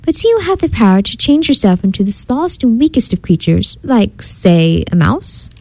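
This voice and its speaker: A woman's voice: fairly high pitch, very animated, normal speed, dark tone, poor audio. A woman voicing a cunning, bold male talking cat servant from a storybook, in a commanding, theatrical way. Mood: sly flattery.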